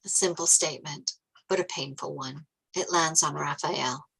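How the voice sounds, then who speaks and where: Bright, lower-pitched woman reading stage directions. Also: moderate pace, gravelly, husky, rough recording. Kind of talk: scripted narration of screenplay directions.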